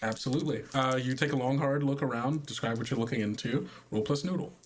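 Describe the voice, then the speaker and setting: Halting conversational male game master. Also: mid-range voice, noisy background. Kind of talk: narrating a tabletop roleplaying game.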